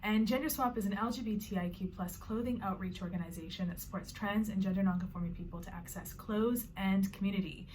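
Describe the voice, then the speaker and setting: A woman's voice: mid-range voice, fast, few pauses, a little mellow, a little hiss or hum, poor audio. Chatty Canadian woman vlogging from her bedroom. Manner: friendly, explanatory, loose with filler words. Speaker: vlogger and organization founder. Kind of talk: casual talking-to-camera vlog. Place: own bedroom.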